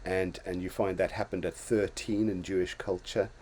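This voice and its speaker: A man's voice: sits low, little pitch movement, normal speed, darkish tone, a little hiss or hum. An older man from Zimbabwe hosting a casual talk show, reflecting on his own life in a storytelling way with many restarts and small chuckles. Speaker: host.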